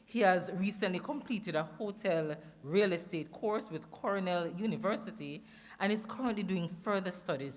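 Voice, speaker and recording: A woman introducing a guest speaker at a ceremony, reading a prepared bio. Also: fairly low pitch. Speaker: master of ceremonies.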